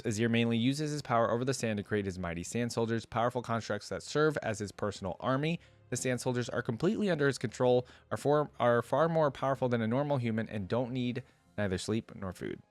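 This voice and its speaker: A man doing casual, informal reaction commentary, switching between reading aloud and thinking out loud in asides. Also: mid-range voice, dry recording. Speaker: reaction video creator.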